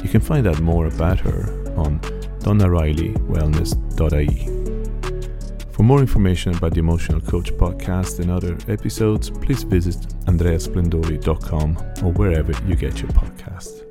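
A man hosting a podcast, reading a measured introduction. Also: low voice, music in the background. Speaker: podcast host. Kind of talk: scripted intro then casual interview.

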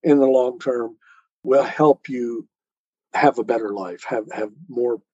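A man's voice: medium pitch, slow pace, a little mellow, slightly rough, no echo, muffled recording. A man talking as a guest author in a conversational interview, earnestly explaining and posing rhetorical questions. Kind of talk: conversational interview talk on life choices.

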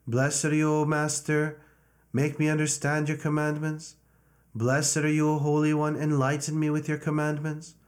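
A man, a priest, intoning prayers and a slow, repeated liturgical chant. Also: a little high, completely flat delivery.

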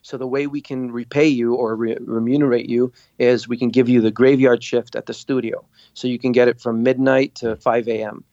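A man from Lebanon, a guest on a podcast, telling his story in a lively way and acting out what others said. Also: mid-range voice, moderate pace, hardly any pauses, dry recording, lo-fi sound. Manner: animated storyteller, acts out quotes. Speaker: guest, singer and producer. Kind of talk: casual interview storytelling.

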